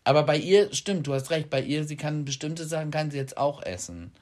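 A man co-hosting a podcast in casual chat, tossing in quick remarks and retelling his own anecdotes. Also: a little high, animated, a little mellow. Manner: chatty, quick interjections, retells own quotes. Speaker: podcast co-host.

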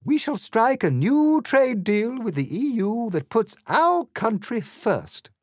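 A man's voice: extremely high pitch, very animated, slow, darkish tone, no echo, clean studio sound, voice pushed up, exaggerated voice. A man voicing an imperious, cruel queen of a card kingdom who speaks grandly and screams in bursts.

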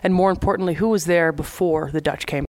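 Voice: medium pitch, fairly even intonation, brisk, no room sound, studio quality. A host introducing a guest and asking questions in an interview.

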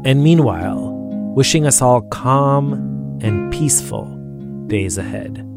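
Man, podcast host, calm scripted narration, guitar music clearly behind. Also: mid-range voice, slow pace.

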